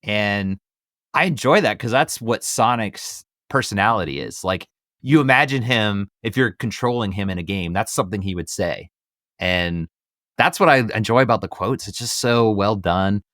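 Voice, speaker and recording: Male podcast co-host, lower register, rambling and keen, casual film chat, close dry sound. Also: fairly bright tone, clear, resonant tone, no room sound. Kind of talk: casual movie discussion podcast.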